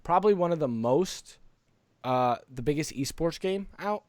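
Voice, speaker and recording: A man's voice: on the high side, expressive, normal speed, a little mellow, no room sound. A man co-hosting a casual gaming podcast in an earnest, rambling way, close to the microphone. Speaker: podcast co-host.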